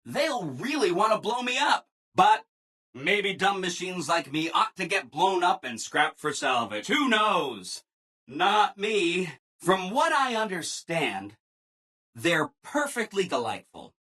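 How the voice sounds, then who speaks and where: An actor playing a relentlessly cheerful, eager-to-please robot assistant in a video game, with a chipper, ingratiating, singsong delivery. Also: male voice, high-pitched, big pitch swings, slow, sharp tone, breathy edge, heavily acted. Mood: apologetic cheer. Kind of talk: video game character dialogue.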